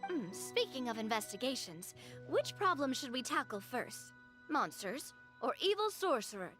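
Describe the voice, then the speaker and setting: An actress playing a lively, confident young woman leader who speaks in an animated, teasing way. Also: high-pitched, normal speed. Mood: playful. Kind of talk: video game voice acting. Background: game music.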